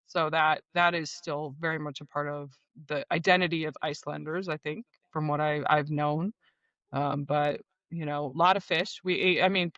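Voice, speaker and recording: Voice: medium pitch, fairly bright tone, no echo. A podcast host chatting casually with guests, full of fillers and restarts. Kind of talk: casual interview chat. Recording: dull lo-fi sound.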